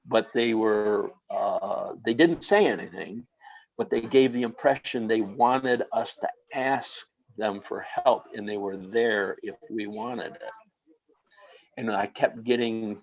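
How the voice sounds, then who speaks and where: A man telling a personal experience, speaking slowly and haltingly with many fillers. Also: on the low side, highs cut off. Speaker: guest.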